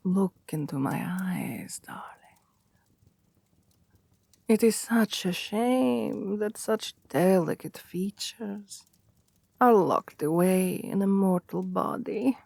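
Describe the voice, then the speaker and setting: Slow, breathy vampire queen, played by a woman. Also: mid-range voice, lively intonation, no room sound, heavily acted. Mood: seductive, coaxing. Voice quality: breathy, often dropping to whispers. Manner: languid, condescending, commanding purr with soft chuckles. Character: seductive, domineering female vampire queen, haughty and possessive. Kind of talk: ASMR roleplay, intimate and unhurried.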